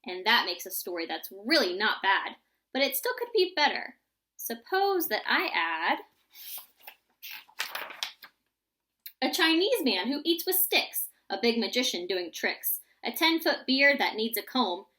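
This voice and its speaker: A woman voicing an imaginative, excitable young boy who spins tall tales in a rhyming storybook. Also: a little high, moderate pace. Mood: imaginative, eager.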